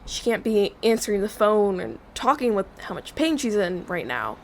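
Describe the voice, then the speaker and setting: An actress plays a wary young woman in an audio drama. Her voice sits at a medium pitch, and she speaks in a guarded, matter-of-fact way, as if weighing whom to trust. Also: sing-song, moderate pace. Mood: defensive, guarded. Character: wary, questioning young woman, the manager's daughter. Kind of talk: naturalistic audio drama acting.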